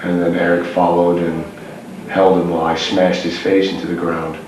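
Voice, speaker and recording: A very deep, flat-voiced man answers interview questions bluntly, swearing freely and brushing things off. The sound is a roomy echo picked up from some distance, with faint hiss underneath. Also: moderate pace. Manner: blunt, profane, dismissive. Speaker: interviewed band frontman. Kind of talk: casual interview. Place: venue backstage, roomy. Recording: echoey room, taped from a distance, faint noise.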